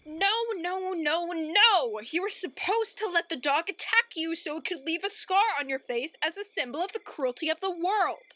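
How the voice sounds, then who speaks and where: A woman playing an earnest, easily exasperated storyteller in a radio play, with a theatrical, deliberate delivery. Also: very high-pitched, bright, forceful tone, muffled recording. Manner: melodramatic, deliberate.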